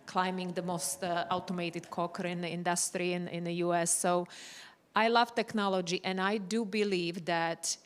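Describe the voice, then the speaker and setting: A woman's voice: on the low side, little pitch movement, moderate pace. A woman from Slovakia, a native Slovak speaker, talking unscripted on a panel with enthusiasm and emphatic repetition. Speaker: company representative on panel. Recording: reverberant conference hall.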